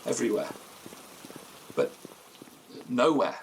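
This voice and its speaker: An actor playing a haunted, frightened man, telling his tale in halting, theatrical, literary phrases for a gothic audio drama. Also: mid-range voice, expressive, creaky, slight background noise. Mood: troubled, desperate. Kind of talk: gothic audio drama, first-person storytelling.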